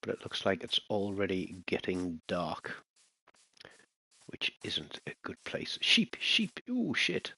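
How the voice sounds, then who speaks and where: Male gamer, very deep voice, close and dry, loose muttering commentary with sudden alarm. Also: wildly swooping pitch, slow pace, gravelly, bright, forceful tone, breathy edge, no echo, poor audio. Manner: chatty, muttering to himself, bursts of alarm at mobs. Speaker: gaming channel host. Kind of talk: casual let's-play commentary.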